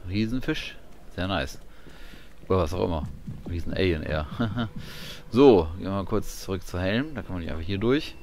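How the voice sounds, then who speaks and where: A man with a deep voice commentates casually as he plays. He mutters to himself in a laid-back way, with faint game ambience behind him. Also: animated, moderate pace, darkish tone, a little hiss or hum. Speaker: gaming video player. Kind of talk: casual let's-play commentary.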